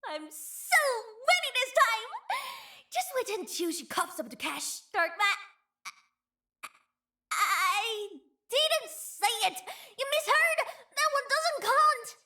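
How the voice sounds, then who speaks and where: A woman's voice: extremely high pitch, big pitch swings, slow pace, sharp tone, heavily acted. A woman voicing a playful, greedy young female chief in a game, cheeky and teasing. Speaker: voice actor. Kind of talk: game character voice lines.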